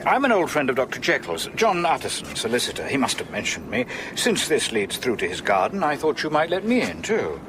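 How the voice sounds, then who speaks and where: An actor playing a courteous, uneasy older English solicitor in a radio drama, polite, formal and measured. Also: male voice, mid-range voice, wildly swooping pitch, background noise. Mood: politely insistent. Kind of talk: radio drama acting with brooding narration.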